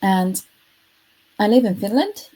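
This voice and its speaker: A woman's voice: mid-range voice, lively intonation, takes it slowly, dark tone, slightly rough. A woman in her thirties from Estonia, speaking English, giving a live online presentation in an earnest manner, often correcting herself. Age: 30s. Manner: earnest, self-correcting, lightly self-deprecating. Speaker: futurist presenting her product.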